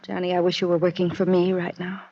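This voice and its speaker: An actress playing a loyal, anguished wife in a 1950s radio drama, earnest and hesitant with wistful melodrama. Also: female voice, fairly low pitch, completely flat delivery, fast talker. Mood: resigned, wistful. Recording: dull vintage radio recording.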